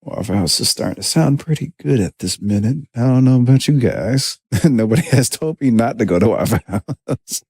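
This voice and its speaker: A man with a deep voice chatting casually on a livestream while he makes music, laid-back and rambling as he reads viewer comments. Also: animated, normal speed, a little mellow, slightly rough, no room sound. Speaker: music producer streaming his session.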